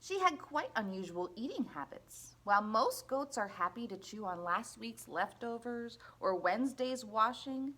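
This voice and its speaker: Woman, children's storybook read-aloud, very animated pitch, husky edge, expressive, deliberate. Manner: expressive, deliberate storytelling with playful sound words. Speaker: storybook reader at a library.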